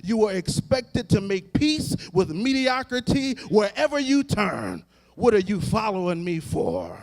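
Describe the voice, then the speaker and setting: High-pitched American preacher in an echoing hall. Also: male voice, sing-song. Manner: emphatic, oratorical, deliberate repetition with building cadence. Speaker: Baptist pastor. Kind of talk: impassioned sermon. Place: large indoor hall, live event. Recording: echoey hall with long reverb.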